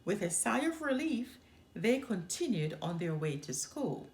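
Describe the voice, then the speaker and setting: A woman reading a children's storybook aloud as an expressive narrator. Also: mid-range voice, measured pace, reverberant. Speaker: storyteller, children's book reader.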